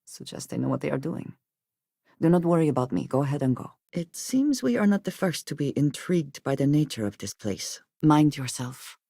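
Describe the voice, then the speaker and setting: An actress playing a guarded, devout and loyal woman spacefarer in a video game, with measured, formal, precise phrasing. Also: sits low, very animated, gravelly, dark tone, no echo, studio quality, full character voice. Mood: guarded, serious. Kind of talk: scripted game character dialogue.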